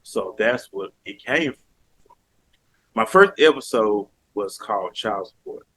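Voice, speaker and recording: A man's voice: on the low side, big pitch swings, unhurried, vocal fry, sharp tone, poor audio. A man from Alabama with a Southern accent, talking earnestly and emphatically as a podcast guest. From Mobile, Alabama, US. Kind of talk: casual interview talk.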